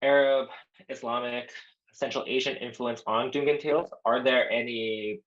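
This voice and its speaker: A man from the audience asking a question in an online lecture, hesitant and halting. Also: mid-range voice, fairly even intonation, fairly bright tone, highs cut off. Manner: hesitant, halting, many fillers. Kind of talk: online academic Q&A. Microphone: video call.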